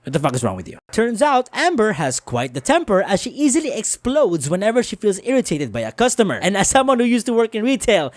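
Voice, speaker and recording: A woman's voice: mid-range voice, big pitch swings, quick pace, few pauses, a little mellow, no room sound, clean studio sound. A woman narrating a scripted comedic movie review in a sarcastic, exasperated manner. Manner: sarcastic, exasperated, foul-mouthed. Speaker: video reviewer.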